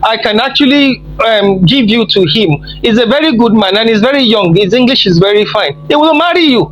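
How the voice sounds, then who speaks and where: A woman's voice: on the high side, animated, a little fast, highs cut off, a little hiss or hum. A woman from Nigeria with a Nigerian accent, ranting sarcastically and teasingly on a social media livestream. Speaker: livestream participant. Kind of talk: mocking rant on a social media live.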